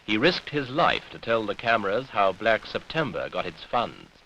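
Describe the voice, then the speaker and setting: A man's voice: lowish voice, very animated, normal speed, sharp tone, lo-fi sound, a little hiss or hum. A British man reading scripted newsreel narration with pointed, dramatic phrasing on an old 1970s soundtrack.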